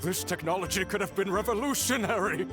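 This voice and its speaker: A man's voice: high-pitched, a little fast, music in the background, natural voice. A man voicing a petulant, desperate tech villain in a video game, whiny, theatrical and scornful. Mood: bitter desperation.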